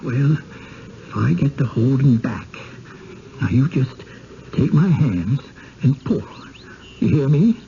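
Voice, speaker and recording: An actor playing a frightened, stubborn old village man with a rural American accent and a folksy, halting manner in a radio drama. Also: mid-range voice, expressive, creaky, mellow. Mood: anxious. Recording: muffled, slightly noisy vintage radio recording.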